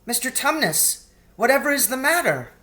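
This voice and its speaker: An earnest young storybook girl, played by a man, polite and caring but easily worried. The voice is pitched high and moves up and down in a lively, fretful way. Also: moderate pace, full character voice.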